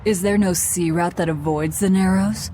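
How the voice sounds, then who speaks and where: Woman playing a low-voiced, commanding demon. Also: steady tone, moderate pace, a little hiss or hum, natural voice. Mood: resolute. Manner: terse, imperious. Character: cold, commanding demon woman, hard and distrustful. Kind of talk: English video game dub acting. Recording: loud music behind voice.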